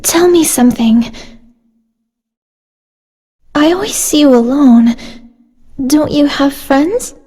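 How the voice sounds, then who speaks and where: A woman's voice: high-pitched, unhurried, mellow. A woman playing a teasing, chatty teenage schoolgirl in a video game. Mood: friendly, curious.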